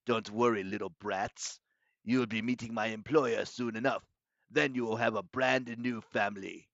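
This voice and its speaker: A man's voice: lowish voice, steady tone, creaky, a bit bright, no echo, dull audio. A man voicing a menacing monster in disguise, pompous and condescending, in a storybook reading. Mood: sneering. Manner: pompous, condescending, deliberate villain delivery. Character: menacing, gloating male monster disguised as a schoolmaster.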